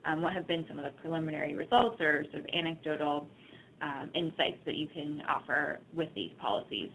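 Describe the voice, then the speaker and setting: A woman's voice: low voice, fairly quick, fairly bright tone, a little hiss or hum, rough recording. A woman moderating a webinar question session, polite and hesitant with many ums.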